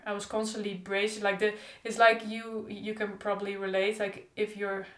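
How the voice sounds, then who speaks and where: A woman's voice: mid-range voice, level tone, moderate pace, a bit bright. A young woman who stutters openly with repeated sounds, talking in a casual diary-style vlog. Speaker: stuttering vlogger. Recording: echoey room.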